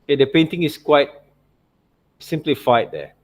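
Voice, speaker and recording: A man's voice: on the high side, very animated, normal speed. A man giving an informal tutorial as a teacher, often checking in with little tag questions. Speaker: art teacher. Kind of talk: informal screen-share tutorial commentary.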